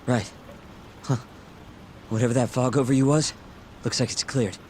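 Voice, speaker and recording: An actor playing a plucky, loyal and slightly cheeky young sidekick in a dramatic video game. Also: male voice, mid-range voice, steady tone, normal speed, noisy background, natural voice. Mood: thoughtful. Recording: loud dramatic music behind.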